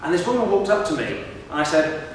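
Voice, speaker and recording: A middle-aged professor gives a lively public lecture, putting questions to the students in front of him. His voice sits fairly high for a man. He is heard from a distance in a large hall with long, booming reverb. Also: fast talker, slight background noise. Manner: lively, engages the audience with questions. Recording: echoey hall recorded from far off.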